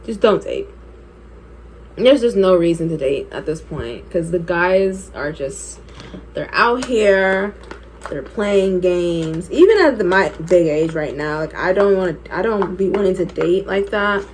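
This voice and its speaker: A woman's voice: fairly low pitch, lively intonation, measured pace, darkish tone, slight background noise. A woman in her twenties telling a personal story while doing her makeup, chatty and candid, laughing often. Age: 20s. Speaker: beauty vlogger.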